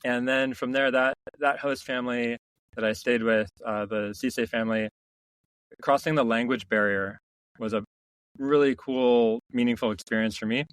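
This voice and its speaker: A middle-aged man, a company founder, telling stories in a relaxed interview, reflective and full of restarts. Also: mid-range voice, moderate pace, smooth, no room sound, low-quality recording. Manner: reflective, many restarts and "you know" fillers. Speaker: founder and CEO, podcast guest. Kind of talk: casual interview storytelling.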